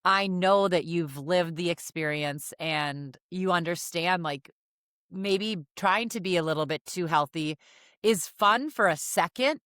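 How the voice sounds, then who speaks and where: A woman's voice: on the low side, measured pace, a bit bright, dry recording, clean studio sound. Encouraging woman hosting a podcast. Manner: encouraging, affirming, frequent "yeah". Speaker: podcast host. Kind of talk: hosting a casual podcast interview.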